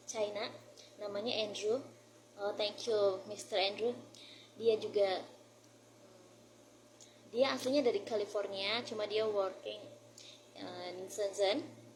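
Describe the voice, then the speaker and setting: A woman's voice: medium pitch, a little mellow, rough recording. A woman from Indonesia speaks in a mid-range voice with an Indonesian accent. She chats informally as a vlogger, slipping between Indonesian and English phrases as she shares learning tips. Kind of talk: casual vlog chat.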